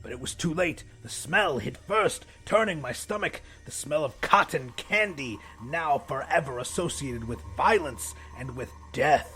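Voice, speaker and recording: A man's voice: fairly high pitch, a little slow, few pauses, pushed and bright, faint music behind. A man narrating a horror audiobook in the first person, with an intense, theatrical delivery.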